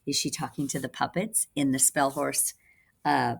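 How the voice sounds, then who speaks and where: Low-voiced woman reading aloud to children. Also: fairly even intonation, moderate pace, gravelly. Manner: instructive, addressing young listeners. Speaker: reading teacher. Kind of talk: children's read-aloud with explanatory asides.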